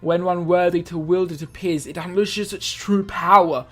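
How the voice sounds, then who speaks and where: A woman's voice: lowish voice, steady tone, moderate pace, fairly bright tone. Woman voicing a pompous, theatrical young man. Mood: grandiose. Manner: grandiose, bossy. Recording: music behind the voice.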